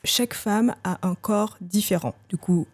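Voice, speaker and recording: A woman in her thirties, a podcast guest telling her own story candidly, thinking aloud with frequent hesitant pauses. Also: medium pitch. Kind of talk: candid personal testimony.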